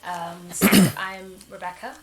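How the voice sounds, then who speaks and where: A woman's voice: fairly low pitch, flat delivery, takes it slowly. A young woman from Malawi who grew up partly in England, giving reflective, hesitant interview answers. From born in Malawi, grew up there and in Manchester, England. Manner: hesitant, tentative phrasing. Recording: echoey room.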